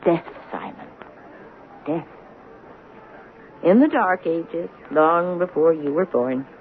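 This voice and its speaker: An elderly romantic spinster with a low voice for a lady, precise and reflective. She is pious and gently probing, in vintage radio drama style, heard through a narrow, slightly noisy broadcast. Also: lively intonation, slow, full character voice. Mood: wistful. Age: older. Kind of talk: 1970s radio drama acting.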